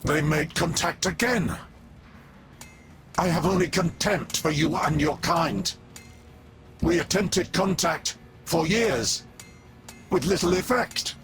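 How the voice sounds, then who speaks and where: An actor playing a cold, haughty ancient alien robot overlord in a video game, speaking formally and with menace. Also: male voice, on the high side, takes it slowly, long pauses, fairly bright tone, faint music behind. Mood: contemptuous. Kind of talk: video game character acting.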